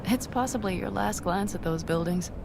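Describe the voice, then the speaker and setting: An actress playing a proud, guarded nomad who speaks frankly and wistfully. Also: female voice, medium pitch, normal speed, vocal fry, lightly acted. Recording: game audio with outdoor ambience. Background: ambient noise.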